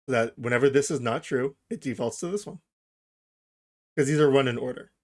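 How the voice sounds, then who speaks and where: A man hosting a software tutorial, explaining each step informally and thinking aloud as he goes. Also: medium pitch, a little fast. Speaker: tutorial host. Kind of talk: casual software tutorial narration.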